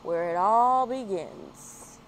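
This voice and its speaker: A woman's voice: medium pitch, animated, takes it slowly, poor audio. Lady doing a chirpy bossy little girl bird teacher from a picture book, super eager, some street noise behind. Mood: marveling. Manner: chirpy, emphatic, insistent. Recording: outdoor street-work and traffic noise.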